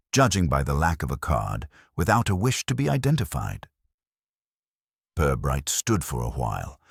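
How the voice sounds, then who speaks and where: A man narrating a scripted story in a measured, formal and dryly wry manner. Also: very deep voice, slightly rough, dry recording, clean studio sound.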